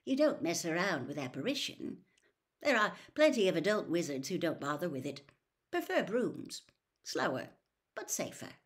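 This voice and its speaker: A woman's voice: on the low side, big pitch swings, normal speed. A woman voicing a genial middle-aged wizard father who explains things in a chatty, matter-of-fact way for a storybook.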